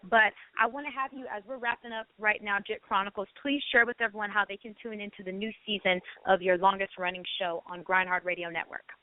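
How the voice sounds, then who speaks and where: A woman's voice: medium pitch, steady tone, a little fast, few pauses, dry recording. Woman radio host on a phone-like line. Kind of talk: online radio talk show hosting and interviewing. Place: call-in internet radio show. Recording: thin phone-like line, dry.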